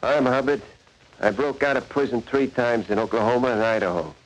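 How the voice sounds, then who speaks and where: An actor playing a brazen jailbreaker and cop killer, bragging toughly in a 1940s radio drama monologue. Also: male voice, mid-range voice, a little fast, natural voice, low-quality recording. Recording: vintage 1940s radio recording.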